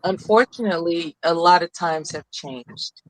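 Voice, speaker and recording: A woman with a commanding voice, speaking as an earnest panelist in a deliberate, halting way. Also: on the low side, poor audio. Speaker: call-in panelist. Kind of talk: opinionated panel discussion.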